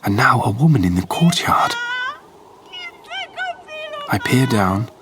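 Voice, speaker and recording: An actor playing a desperate young prisoner who gravely and intensely narrates his diary in a radio drama. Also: medium pitch, lively intonation, takes it slowly, noisy background. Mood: haunted. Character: desperate young male prisoner recounting his captivity, grimly resolute. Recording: music under the voice. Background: loud dramatic music.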